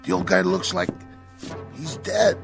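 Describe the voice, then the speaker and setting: Gruff, gravelly wisecracking mover. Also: male voice, a little high, very animated, measured pace, music in the background, exaggerated voice. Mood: alarmed, concerned. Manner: blunt, informal, wisecracking. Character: gruff, joking working-class male furniture mover. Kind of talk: radio drama acting.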